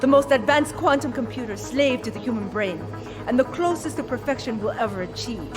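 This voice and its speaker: A woman's voice: fairly high pitch, animated, a little fast, music in the background, lightly acted. A woman playing an ambitious, haughty corporate schemer with a grandiose way of talking. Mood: smug. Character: ambitious, imperious female corporate villain, smug schemer.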